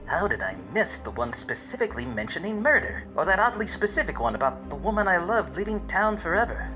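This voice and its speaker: A man playing a wisecracking, dim hard-boiled detective in a parody of 1940s radio drama, wordy and deadpan. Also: high voice, fairly quick, music in the background. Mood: wry narration. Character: wisecracking, obtuse hard-boiled male private eye narrator.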